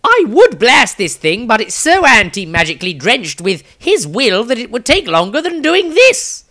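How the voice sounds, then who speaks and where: An indignant fantasy adventurer, acted out by a male storyteller, pitched well up and swooping with disbelief. He is loud, exasperated and ready to argue, as in an audiobook tale of swords and magic. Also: huge pitch swings, moderate pace, exaggerated voice. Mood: frustrated, urgent.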